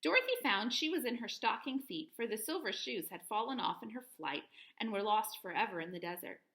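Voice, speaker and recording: A woman reading a children's story aloud in an expressive, clearly phrased teacher's manner. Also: mid-range voice, brisk.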